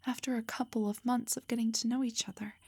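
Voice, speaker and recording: A woman playing a loving, protective elf wife in an intimate roleplay audio, speaking tenderly and reassuringly in a breathy whisper. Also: fairly high pitch, level tone, quick pace, dark tone, no echo. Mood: tender, soothing.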